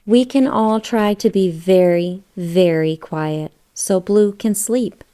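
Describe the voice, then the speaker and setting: A woman's voice: medium pitch, takes it slowly, dark tone. A woman reading a children's storybook aloud, putting questions to young listeners. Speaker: children's book read-aloud narrator. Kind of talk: scripted storybook read-aloud.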